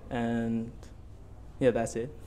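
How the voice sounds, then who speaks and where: A man's voice: mid-range voice, flat delivery, extremely slow, mellow. Hesitant teenage male student, noisy background. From Bali, Indonesia. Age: late teens. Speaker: student podcast guest. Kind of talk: casual interview answers.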